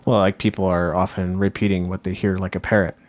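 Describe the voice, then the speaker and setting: A man with a deep voice speaks in a very dry recording as a podcast co-host. He is casual and opinionated, reflecting out loud and rephrasing his points as he goes. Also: little pitch movement, fast, no echo. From Japan.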